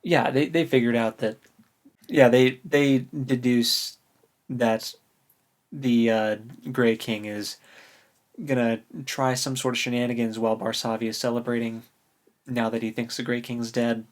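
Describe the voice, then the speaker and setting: A podcast co-host chatting casually about books, recapping in an animated way with relaxed slang. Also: medium pitch, unhurried. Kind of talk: casual book discussion chat.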